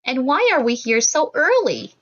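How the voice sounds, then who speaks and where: A woman voicing eager, playful children from a storybook with excitable phrasing. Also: high voice, moderate pace, lo-fi sound, full character voice. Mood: excited, curious.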